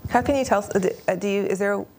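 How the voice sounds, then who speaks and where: A woman moderating a panel talk, chatty and animated, who laughs often. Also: mid-range voice, rapid-fire, slight background noise.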